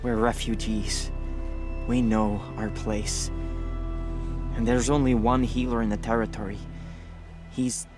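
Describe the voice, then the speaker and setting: A man playing a gentle, resigned refugee and devoted brother in a video game, with a subdued, halting delivery. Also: lowish voice, flat delivery. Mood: worried, sad. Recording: clear music behind the voice.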